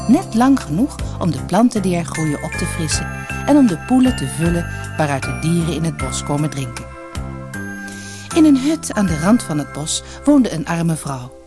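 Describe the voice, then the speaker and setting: A woman narrating a scripted fairy tale as an audiobook storyteller. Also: lowish voice.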